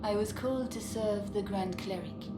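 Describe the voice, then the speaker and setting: Woman acting an earnest cleric sister, echoey over loud music. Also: mid-range voice, normal speed, natural voice. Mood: defiant. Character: devout, secretive cleric sister, loyal and defiant. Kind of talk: dramatic fantasy game acting.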